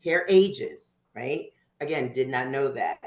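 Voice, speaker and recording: A woman's voice: a bass voice, expressive, slow, reverberant. A woman in her fifties hosting a casual group chat, chatty and laughing often. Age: 50s. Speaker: host.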